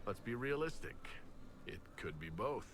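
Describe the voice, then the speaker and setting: A man voicing a measured male hero in a fantasy video game. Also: mid-range voice, very animated. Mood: earnest. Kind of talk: video game character dialogue. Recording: echoey, quiet, faint noise.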